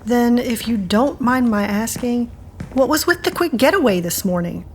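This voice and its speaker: A woman's voice: a little high, animated, normal speed, lightly acted. Woman acting frank, protective confidante, noisy backdrop. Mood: concerned, probing. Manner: frank, advising. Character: older, protective, wry woman confidante and covert-ops rescuer. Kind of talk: audio drama acting.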